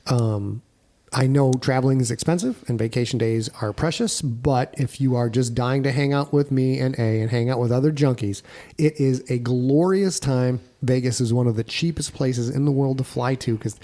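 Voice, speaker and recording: Gravelly middle-aged man, casual podcast host. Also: medium pitch, normal speed, warm tone. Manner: informal, blunt, jokey. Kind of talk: casual podcast chat about tour plans.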